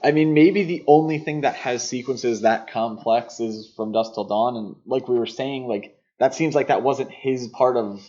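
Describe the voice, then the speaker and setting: A man's voice: medium pitch, moderate pace, few pauses, a little mellow, slight background noise. Analytical man podcasting, slightly dull audio. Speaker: podcast co-host. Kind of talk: conversational film discussion.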